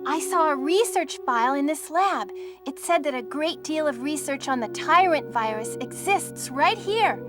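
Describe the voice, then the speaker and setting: Young rookie medic, high stiff reads, game music behind. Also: female voice, moderate pace. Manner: stiff, flatly delivered lines. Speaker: game character.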